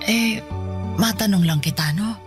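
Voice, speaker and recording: An elderly Filipina mother played by a woman, unwell but gently stubborn and fond of her child. She speaks in radio drama style at a middling pitch, with faint music underneath. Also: a little slow, close to an everyday voice. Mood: wistful, fond. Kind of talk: Tagalog radio drama acting.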